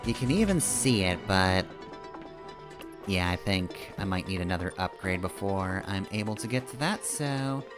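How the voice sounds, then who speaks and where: Low-voiced, animated male game streamer. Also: normal speed. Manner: rambling, thinking aloud. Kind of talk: casual live gameplay commentary. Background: video game music.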